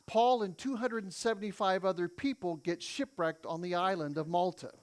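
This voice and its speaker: A man who is a native English speaker preaches a sermon as a missionary. He tells the story in short phrases, leaving pauses for an interpreter. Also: high voice.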